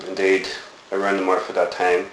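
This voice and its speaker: A man's voice: deep voice, monotone, fairly quick. A middle-aged man from Northern Ireland with a Belfast accent, recalling his childhood in a reflective, rambling way with many fillers. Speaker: interviewee. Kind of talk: oral history interview. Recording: echoey room with faint hiss.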